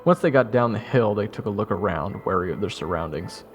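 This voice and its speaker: A man's voice: on the low side, expressive, a little fast, faint music behind, close to an everyday voice. A man playing a wry soldier who narrates his own fantasy story in a matter-of-fact way. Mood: wry, watchful. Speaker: narrator of audio roleplay. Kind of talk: first-person scripted narration.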